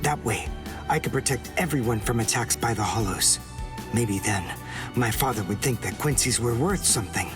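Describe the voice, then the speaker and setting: An actor playing an earnest, determined young male warrior in an anime game, speaking in a solemn, measured, intense way. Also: mid-range voice, over music, natural voice. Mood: solemn reflection. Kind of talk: English-dubbed anime game acting.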